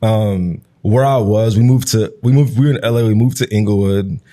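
This is a man born in Nigeria, Igbo his first language, in his thirties, speaking as an interview guest. His voice sits low and warm, and he circles his thoughts with plenty of "like" and "you know.". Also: moderate pace. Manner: reflective, loose, full of "like" and "you know". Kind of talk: conversational interview.

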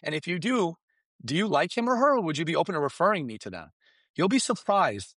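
A man whose first language is Farsi coaches his listeners in an emphatic, animated way, constantly checking in with them. His pitch swings widely as he drives his points home, and the sound is dry and close. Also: fast, no echo. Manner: emphatic, animated, keeps asking "right?" and "you understand?". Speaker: guest expert. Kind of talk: energetic business coaching talk.

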